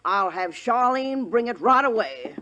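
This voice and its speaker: An actress playing a big, brassy frontier saloon keeper in a 1950s radio Western, blunt and folksy, doting but explosive. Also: female voice, fairly high pitch, very animated, unhurried, bright, forceful tone, lo-fi sound, slight background noise, full character voice. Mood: tender, doting.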